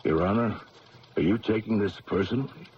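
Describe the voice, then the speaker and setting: An actor playing a world-weary, skeptical police lieutenant in a radio drama, plain-spoken and questioning but deferential. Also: male voice, lowish voice, fairly quick, lightly acted. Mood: wary, resigned. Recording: muffled old radio recording with hiss.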